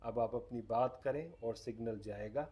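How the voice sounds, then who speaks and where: A man's voice: mid-range voice, moderate pace, warm tone. Man giving a casual gadget demonstration. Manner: explains step by step. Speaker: host demonstrating a radio product. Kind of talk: casual hands-on product demonstration.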